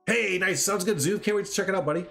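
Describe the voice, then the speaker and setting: A man's voice: sits high, fast talker, gravelly, sharp tone, faint music behind. Stuffed-up man hosting a livestream. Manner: friendly, rambling, reads out chat. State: stuffed up from a sinus cold. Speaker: livestream host. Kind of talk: casual livestream chat.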